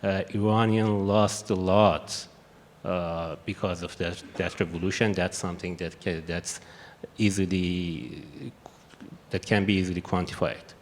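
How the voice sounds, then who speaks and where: A man with a strong Persian accent talks on a conference panel, low and steady in pitch. He hesitates often and restarts his sentences. The sound carries the long echo of a hall, with a faint hiss behind it. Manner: halting, many restarts and fillers. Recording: echoey hall with faint noise.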